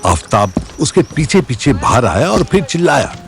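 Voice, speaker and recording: A man's voice: medium pitch, very animated, normal speed, soft background music. A man narrating a Hindi audio story in a dramatic scripted style.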